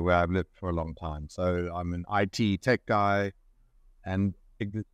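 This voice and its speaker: Man, middle-aged, very low voice, resonant, calm measured explanations. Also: clear, resonant tone, no room sound. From Zimbabwe. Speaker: company CEO, guest. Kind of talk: business interview answers.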